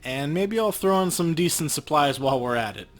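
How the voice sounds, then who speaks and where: A man's voice: on the high side, lively intonation, a little fast, lightly acted. A man voicing a world-weary, wry street runner, reading video game dialogue with tough, streetwise talk. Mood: gruff, persuading. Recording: game music under the voice.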